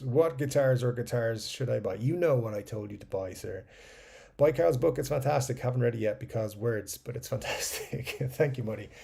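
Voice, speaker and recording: A man's voice: mid-range voice, creaky, warm tone. A man with an Irish accent hosting a casual livestream, reading out viewer comments and chatting loosely, swearing now and then and trailing off into mumbles. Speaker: livestream host.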